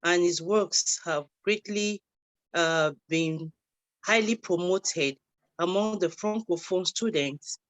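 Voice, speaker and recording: A woman's voice: fairly low pitch, takes it slowly, no echo, low-quality recording. A woman in the audience of an academic session who asks a question over a video call, politely and with frequent hesitations. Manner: polite, hesitant, many fillers. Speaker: audience member asking a question.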